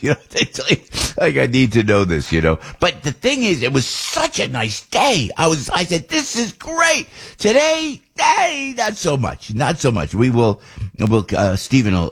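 Husky older man hosting talk radio. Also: medium pitch, very animated, normal speed. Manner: rambling, chatty, with frequent restarts and mock voices. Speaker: radio talk show host. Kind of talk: casual political talk radio monologue.

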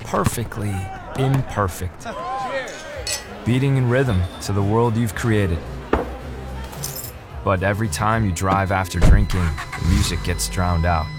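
Low-voiced male ad announcer. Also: unhurried, pauses often. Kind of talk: scripted public service ad. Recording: ad over loud music.

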